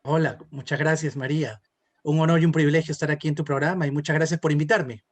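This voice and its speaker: Male political pundit, Peruvian Spanish accent, high register, conversational explainer in an interview setting. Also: fairly even intonation, quick pace. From Peru. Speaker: political consultant, interview guest.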